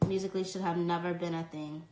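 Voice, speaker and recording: Young woman, casual chatter, low-pitched voice, roomy phone sound, faint background hum. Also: steady tone, fast talker. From New York. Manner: chatty, fillers like um and like. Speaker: live streamer answering fan comments. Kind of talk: casual chat.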